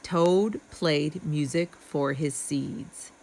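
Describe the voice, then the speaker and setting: A woman storyteller reading a children's book aloud in a deliberate, expressive narrating style. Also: sits low, vocal fry.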